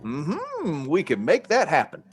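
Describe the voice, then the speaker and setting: A man's voice: on the high side, wildly swooping pitch, a little slow. A man acting a friendly, folksy cowboy-style shopkeeper in a comic radio advert, with an obliging drawl. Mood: salesman-like. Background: plucked guitar music.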